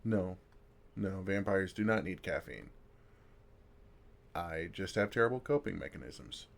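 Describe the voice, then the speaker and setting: A man playing a kind, wry older vampire who comforts a newcomer in an intimate, gentle ASMR roleplay, with dry humor and unhurried pauses. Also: sits low, expressive, creaky, heavily acted. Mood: soothing, self-mocking.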